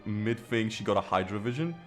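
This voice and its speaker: A man's voice: fairly low pitch, animated, a little fast, music in the background. A man doing casual, slangy game playthrough commentary, reacting and repeating himself. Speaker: streamer playing a game.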